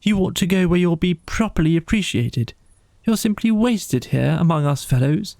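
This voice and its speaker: A man's voice: high-pitched, lively intonation, moderate pace, creaky, a little mellow, dry recording, voice pushed up. A man voicing an earnest, wordy mole in a children's storybook, with emphatic, rambling speech. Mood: awed, gushing. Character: grumbling, naive, wordy male mole. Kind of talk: animal character in a children's storybook.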